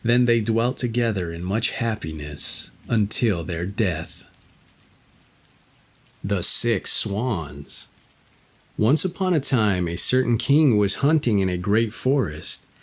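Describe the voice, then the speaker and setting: A man narrating a fairy tale from a script, with a gravelly, husky voice. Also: fairly low pitch, a bit bright. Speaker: storyteller, narrator.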